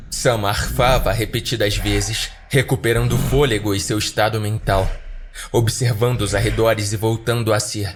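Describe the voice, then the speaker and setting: Brazilian man narrating horror over music. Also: lowish voice. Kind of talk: dramatic scripted narration.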